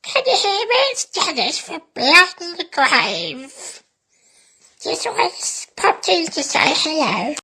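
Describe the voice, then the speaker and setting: A man voicing a chirpy, querulous little ghost character in a put-on squeaky voice. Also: very animated, slow pace, pushed and bright, full character voice. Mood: cheerful, calling. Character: chirpy squeaky ghost named Dennis, popping in to greet.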